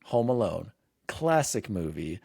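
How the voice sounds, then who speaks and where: A man hosting a podcast, chatting casually in an animated, emphatic way. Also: lowish voice, a little slow, gravelly, slightly rough. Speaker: podcast host. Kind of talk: casual chat.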